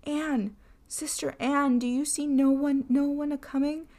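A woman's voice: sits high, level tone, a little slow, a little mellow, pitched above the performer's own voice. Woman doing a terrified young wife begging. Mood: urgent whisper. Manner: pleading, sometimes whispering. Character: frightened young wife pleading for time.

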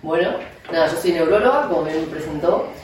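A woman's voice: low voice, a little fast, a little mellow. A woman with an Argentine accent, a neurologist explaining her work in an interview with frequent fillers and restarts. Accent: Argentine Spanish. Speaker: neurologist guest. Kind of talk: interview answers. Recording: echoey room, distant mic.

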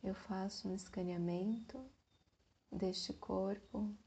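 A woman guides a meditation at an extremely slow pace, leaving long pauses between short phrases. Her voice is soft and breathy, with a mellow, relaxed tone. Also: medium pitch.

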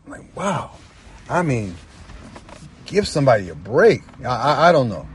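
A man talking casually and reflectively as a guest storyteller, earnest and halting, often repeating phrases for emphasis. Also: medium pitch, sing-song, slight background noise. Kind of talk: casual, reflective personal talk.

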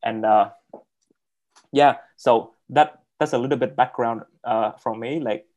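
A young man who speaks English as a second language, telling his story as a guest on a video call, halting and repeating words with many fillers. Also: medium pitch, a little mellow. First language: not English. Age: young adult. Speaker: interview guest. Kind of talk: casual interview on a video call.

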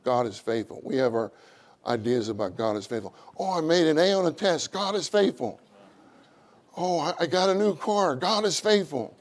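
Loud animated preacher man. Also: normal speed. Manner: animated, acts out quotes with rising pitch. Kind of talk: sermon.

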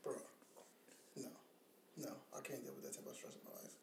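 A man in his thirties chatting casually as a podcast co-host, slangy and sweary with a teasing streak. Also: a little fast. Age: 30s. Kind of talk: casual chat about parenting. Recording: roomy, echoing sound.

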